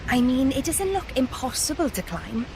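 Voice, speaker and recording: A plain-spoken young woman adventurer in a game cutscene. Her voice sits fairly high, with clear ambient noise behind it. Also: big pitch swings, normal speed, full character voice. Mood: matter-of-fact. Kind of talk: video game cutscene acting.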